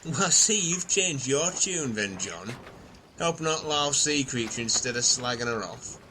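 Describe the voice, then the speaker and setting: A man's voice: mid-range voice, expressive, normal speed, natural voice. A man playing a blunt, grumbling, sweary castaway in an improvised comedy audio play. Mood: exasperated. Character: blunt, foul-mouthed male castaway, grumbling and sceptical. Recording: noisy home recording.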